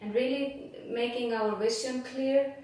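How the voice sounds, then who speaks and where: A woman from Iceland in her forties, English, talks through her answers unhurriedly, pausing often on fillers. Her voice sits a little high and comes from across the room. The small office gives it a strong, roomy echo. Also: steady tone, darkish tone, slight background noise. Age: 40s. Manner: unhurried, many filler pauses, non-native phrasing. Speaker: interview guest, former board member. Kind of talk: casual interview answers. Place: small office with posters and plants. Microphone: camera mic from across the room. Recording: echoey room from a distant mic.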